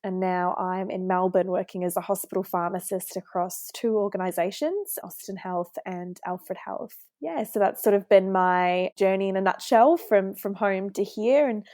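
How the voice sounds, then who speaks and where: A woman's voice: mid-range voice, normal speed, hardly any pauses, darkish tone, dry recording, clean studio sound. A woman in her twenties from New Zealand, a pharmacist guest on a podcast, telling her story in a friendly way with some filler words. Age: 20s. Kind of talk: podcast interview.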